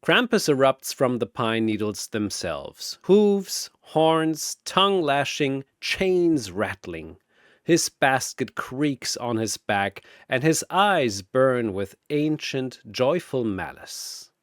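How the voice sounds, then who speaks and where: A man's voice: mid-range voice, sing-song, slow, no echo, studio quality. A man delivering dramatic scripted battle narration like an arena announcer, theatrical and grandiose.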